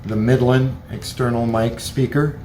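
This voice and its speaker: A man's voice: medium pitch, steady tone, unhurried, mellow, poor audio. Methodical man reviewing gadgets, faint noise. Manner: plain, methodical step-by-step explaining. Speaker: product reviewer. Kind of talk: hands-on gadget review narration.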